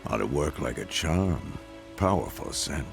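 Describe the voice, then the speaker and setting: An actor playing a seasoned older monster hunter, a wry mentor figure in a video game. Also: male voice, rumbling low, lively intonation, unhurried, vocal fry, over music, exaggerated voice. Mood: teasing.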